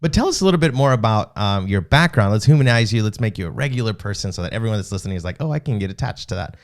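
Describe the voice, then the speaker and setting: Man, low voice, podcast host, upbeat, chatty. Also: expressive, racing. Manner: upbeat, chatty, reads sponsor spots. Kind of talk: casual podcast interview.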